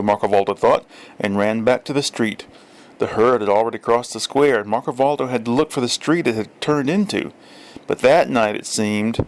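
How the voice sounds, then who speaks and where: A man reading a literary story aloud as narrator, with measured, deliberate phrasing. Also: mid-range voice, slight background noise. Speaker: audiobook reader.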